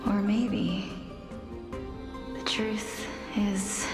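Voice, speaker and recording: Slow, solemn young woman. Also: mid-range voice, little pitch movement. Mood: melancholy, questioning. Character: gentle, sorrowful young woman cursed with death. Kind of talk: dramatic fantasy game voice acting. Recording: very echoey, reverberant, prominent music behind.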